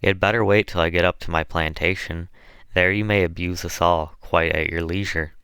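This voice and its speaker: A man voicing a genial gentleman planter in an audiobook, speaking in a polite, measured, wry manner. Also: low voice, fairly even intonation, clear, resonant tone, dry recording. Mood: wry, calm.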